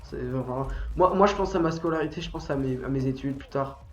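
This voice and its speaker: A man's voice: medium pitch, fairly quick. A teenage boy with a cold and a stuffy nose, rambling and swearing as he chats on a gaming stream. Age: teen. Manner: rambling, swears freely, bursts into angry shouting at the game. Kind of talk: casual gaming stream chat. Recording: roomy echo, dull lo-fi sound, faint music.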